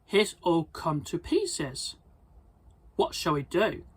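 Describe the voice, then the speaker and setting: A reader voicing a big skeleton from a children's storybook, playful and bumbling, with halting exclamations. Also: medium pitch, wildly swooping pitch, breathy edge, exaggerated voice. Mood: concerned.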